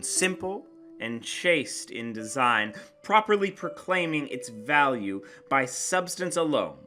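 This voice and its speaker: A man's voice: medium pitch, bright, forceful tone. A man narrates a classic short story as an emphatic, theatrical dramatic reading, savoring his phrases. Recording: guitar music behind the voice. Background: plucked guitar music.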